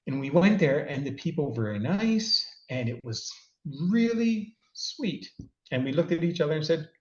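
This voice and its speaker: A man's voice: on the high side, big pitch swings, moderate pace, echoey room, muffled recording. A middle-aged man from California, a guest giving rambling, self-deprecating advice in a casual chat. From California, USA. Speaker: interview guest.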